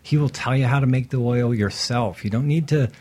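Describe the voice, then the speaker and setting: A man's voice: medium pitch, fairly quick, darkish tone. A man talking on a radio show in a casual, earnest, matter-of-fact way.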